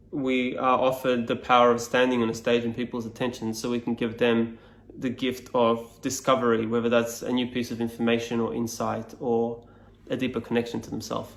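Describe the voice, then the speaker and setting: A Serbian-native man talks to camera as a speaking coach, musing aloud with lots of fillers and restarts. His pitch stays fairly level throughout. The room gives his voice a clear echo. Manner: reflective, loose, many fillers and restarts. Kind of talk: casual explainer to camera.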